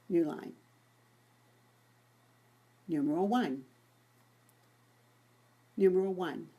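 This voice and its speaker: Woman, husky rough-edged voice, lowish pitch, software tutorial presenter, informal. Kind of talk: dictating voice commands to software.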